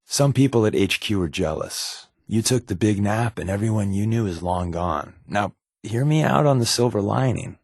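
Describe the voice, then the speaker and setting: An actor playing a wry, guarded undercover resistance agent in a video game, conversational and confiding, with a slightly husky voice. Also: male voice, on the low side, animated, normal speed, darkish tone, no echo, clean studio sound, heavily acted. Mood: wry, easygoing. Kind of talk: naturalistic video game companion dialogue.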